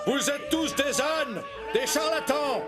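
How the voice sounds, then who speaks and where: A man voicing a pompous, hot-tempered government minister in a French animated storybook cartoon. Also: very high-pitched, slow pace, over loud music. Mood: furious.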